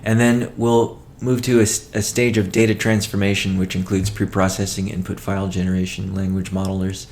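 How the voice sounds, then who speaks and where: A man presenting a company update as a chief executive, in a measured, matter-of-fact way with halting phrasing. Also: low voice, little pitch movement, a little mellow, a little hiss or hum. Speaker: company CEO hosting a weekly call. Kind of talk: business update presentation, partly read from notes.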